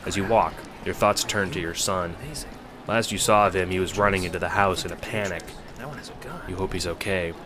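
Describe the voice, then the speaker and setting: A man's voice: low-pitched, level tone, moderate pace, background noise. A man narrating a scripted audio drama in the second person.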